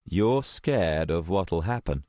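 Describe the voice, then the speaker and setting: Audiobook hero, protective man acted by a male reader, exasperated, insistent, animated intonation, dry sound. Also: low-pitched, slow pace, no echo. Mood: quiet realisation. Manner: insistent, reasoning, sometimes snarky. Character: exasperated, protective man who can see the future.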